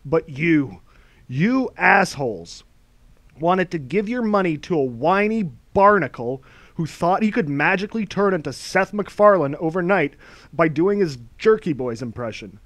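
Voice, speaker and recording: A man's voice: on the high side, expressive, unhurried, no room sound. Scornful man ranting in voice-over. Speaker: video essay narrator. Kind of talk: scripted commentary narration.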